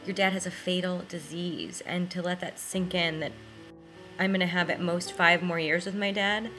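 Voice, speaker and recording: A woman's voice: fairly low pitch, fairly even intonation, normal speed, bright, forceful tone, faint music behind. A woman in her twenties, speaking emotionally and emphatically in a documentary interview. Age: 20s.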